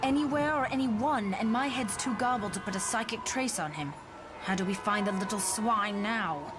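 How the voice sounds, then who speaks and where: A woman's voice: medium pitch, normal speed, over music, natural voice. A woman voicing a blunt, frazzled psychic field agent in a motion comic. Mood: exasperated.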